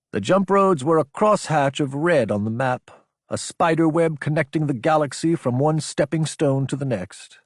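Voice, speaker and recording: Male narrator for sci-fi audiobook: husky mid-range voice, serious and measured, dramatic scripted read. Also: mid-range voice, darkish tone, no echo, clean studio sound.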